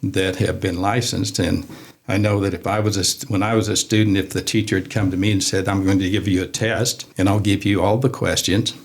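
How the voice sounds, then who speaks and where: American male guest, measured explainer, very low register with creaky edge. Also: level tone. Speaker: amateur radio club member, interview guest. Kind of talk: informal explanatory interview talk.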